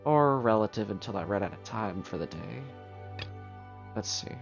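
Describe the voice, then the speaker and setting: A man's voice: on the low side, expressive, moderate pace, gravelly. Male game streamer talking over music. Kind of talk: casual live gaming commentary.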